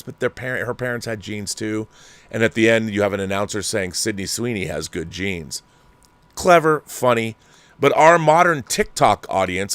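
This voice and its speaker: A man's voice: on the low side, fairly bright tone, dry recording. A man hosting a commentary show, delivering a heated, sarcastic, exasperated rant. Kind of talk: ranting commentary monologue.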